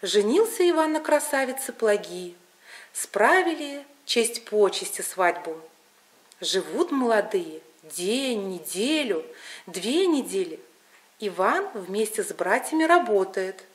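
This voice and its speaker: A woman storyteller reading a scripted folk tale in an expressive, deliberate way. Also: medium pitch.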